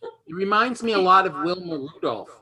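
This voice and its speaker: A man's voice: high voice, moderate pace, sharp tone. A man casually hosting an unscripted online quiz over a video call, with a joking, self-deprecating manner. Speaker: game show host.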